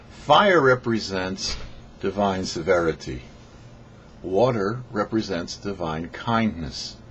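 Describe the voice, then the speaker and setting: A man reading a scripted religious teaching as a rabbi and show host, phrasing deliberately and emphatically with pauses. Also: on the low side. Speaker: rabbi, host of a weekly Torah portion show. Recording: dull lo-fi audio with faint noise.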